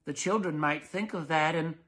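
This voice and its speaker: A man's voice: a little high, normal speed, fairly bright tone, close to an everyday voice. A man voicing a dull, timid, cautious storybook father with a hesitant, bland delivery.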